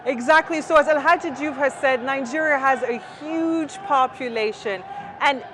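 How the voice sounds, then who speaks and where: A woman presenting an awards studio show, interpreting for guests and hosting in a casual, lively style. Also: high voice, measured pace, crowd noise. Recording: clear background noise, studio ambience.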